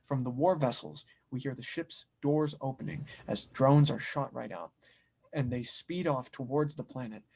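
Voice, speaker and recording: A man's voice: mid-range voice, normal speed, creaky, darkish tone. A man reading scene directions as narrator at an audio drama table read, in a dramatic scripted style.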